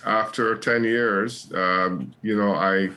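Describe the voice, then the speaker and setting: A man in his sixties, a pastor, speaking haltingly with many fillers as an interview guest. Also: fairly low pitch, fairly even intonation, pushed and bright. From Barbados and England. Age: 60s. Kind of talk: casual interview.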